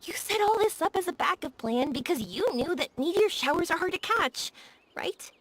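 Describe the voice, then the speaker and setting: A woman playing a bubbly, warm-hearted young woman in an anime-style video game, gushing and earnest in her delivery. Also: very high-pitched, expressive, moderate pace, dry recording. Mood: bubbly, grateful.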